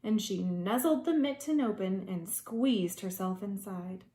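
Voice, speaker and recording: A woman preschool teacher reading a children's story aloud in a dramatic way, with hushed asides to young listeners. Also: medium pitch, a little slow, reverberant.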